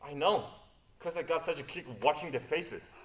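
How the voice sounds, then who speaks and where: A man's voice: a little high, normal speed, fairly bright tone, lightly acted, rough recording. A young man acting a roguish, bitter adventurer in a stage play, dramatic and confrontational. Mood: bitter, confessing. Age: young adult. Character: roguish, cynical male adventurer, defensive and bitter. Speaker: student stage actor.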